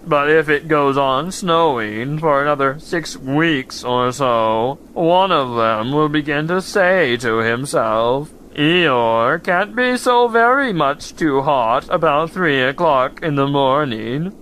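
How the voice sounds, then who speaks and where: A man's voice: fairly high pitch, animated, a bit bright, slight background noise, rough recording. A man playing a gloomy, self-pitying donkey in an audio drama, with mournful, understated complaints. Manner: slow, mournful, understated, long-suffering complaints.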